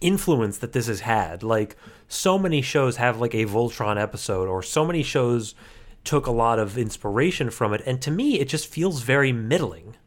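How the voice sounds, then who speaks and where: A man's voice: mid-range voice, animated, normal speed. Playful man in casual comedic chat. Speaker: podcast co-host.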